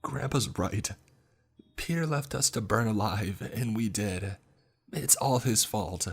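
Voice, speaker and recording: A man's voice: on the low side, slow pace, vocal fry, breathy edge, voice pushed up, heavily acted. A man voicing a sassy, bitter ghost of a teenage girl who speaks in an accusing way, in a horror story.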